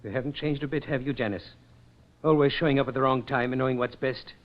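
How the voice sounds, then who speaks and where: An actor playing a fussy, courteous and easily flustered gentleman, hesitant and theatrical, in an old radio drama. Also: medium pitch, steady tone, fast, close to an everyday voice. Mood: startled, exasperated. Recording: muffled old radio recording.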